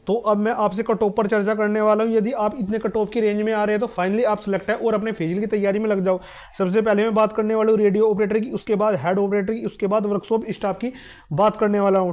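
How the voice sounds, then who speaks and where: High, rapid, flat-toned young man. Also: highs cut off. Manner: repetitive, emphatic, advising students directly. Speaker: exam coaching YouTuber. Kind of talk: fast explainer talk to the camera.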